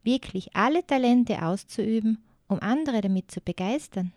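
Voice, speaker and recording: A woman voicing a small, dirty but wise girl in an audio story, speaking gently and softly. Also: a little high, animated, moderate pace, no echo, clean studio sound, lightly acted. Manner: gentle, soft-spoken storytelling. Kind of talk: audiobook character voice.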